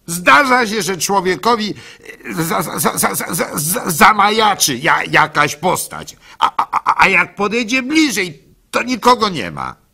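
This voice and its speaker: An actor playing a gullible, soft-hearted village baker who tells his story in a halting, plaintive way for a radio play. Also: sits high, sing-song, gravelly, slightly rough, exaggerated voice. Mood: pleading, self-doubting. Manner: halting, stammering, plaintive, swinging between hushed and loud. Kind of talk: dramatic first-person storytelling for a radio play.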